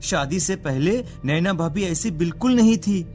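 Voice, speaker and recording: An actor playing an anxious, suspicious younger brother in a cartoon drama, urgent and insistent. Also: male voice, high voice, expressive, normal speed, a little mellow. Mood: urgent, worried. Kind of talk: dramatic cartoon story acting. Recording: music behind the voice.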